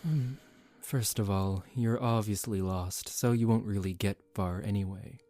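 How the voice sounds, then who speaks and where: A man voicing a suave, condescending young male vampire in a soft-spoken ASMR roleplay, calm and teasing. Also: fairly low pitch, a little slow, no echo. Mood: coolly amused. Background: relaxing background music.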